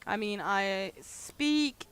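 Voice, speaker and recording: A woman's voice: medium pitch, lively intonation, drawn-out words, a bit bright, slight background noise. Danish woman chatting on a stream. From Denmark. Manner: chatty, candid, reads and answers chat aloud. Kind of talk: casual livestream chat while gaming.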